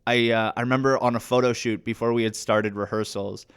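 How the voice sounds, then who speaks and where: A man's voice: medium pitch, steady tone, a little fast, clean tone. A young Canadian man, an actor and podcast guest, chatting casually and enthusiastically as he tells stories. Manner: chatty, enthusiastic, acts out quoted dialogue. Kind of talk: casual chat, animated storytelling.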